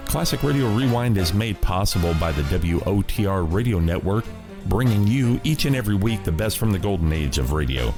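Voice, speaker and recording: A male podcast host reading a scripted introduction. His voice is low, with clear music playing behind him. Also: lively intonation, fairly quick.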